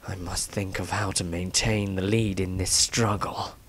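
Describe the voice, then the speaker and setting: A man voicing a brooding, sinister fantasy diarist, deliberate, scheming and disdainful, in a dramatic story reading. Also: deep voice, level tone, a bit bright. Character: brooding sinister male diarist losing control to dark entity. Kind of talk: dramatic fantasy diary narration.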